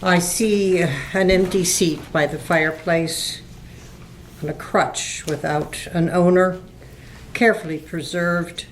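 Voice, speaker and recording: A woman playing a grave, stern Christmas spirit in a radio play, speaking formally and deliberately. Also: on the low side, fairly even intonation, slight background noise. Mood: grave foretelling. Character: solemn, stern ghostly Christmas spirit, prophetic and reproachful. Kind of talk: holiday radio play acting.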